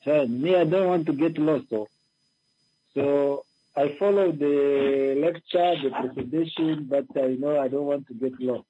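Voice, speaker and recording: A man calling in to a discussion, speaking politely and haltingly as he gives an unscripted comment over dull lo-fi audio. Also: on the high side, husky, no room sound. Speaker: caller to a radio discussion.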